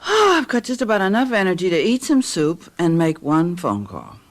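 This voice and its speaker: Weary, lilting suburban wife. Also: female voice, fairly low pitch, huge pitch swings, moderate pace. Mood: tired, wistful. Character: weary suburban wife and mother, gentle, fond. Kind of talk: radio drama acting. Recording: noisy radio drama with background sound effects.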